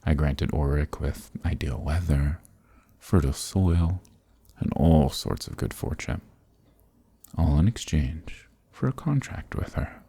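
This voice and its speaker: Man voicing a very deep, gravelly archdemon. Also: animated, mellow, no room sound, full character voice. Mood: smug, weary. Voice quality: very deep, gravelly, husky, breathy. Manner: slow, deliberate, condescending, commanding. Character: ancient, smug, dominant male archdemon dealing in contracts. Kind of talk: ASMR roleplay, dark fantasy monologue.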